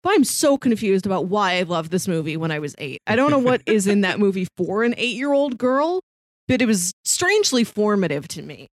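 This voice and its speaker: A woman's voice: mid-range voice, very animated, fairly quick, no room sound. Woman chatting casually, close dry sound. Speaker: podcast co-host. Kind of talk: casual podcast chat.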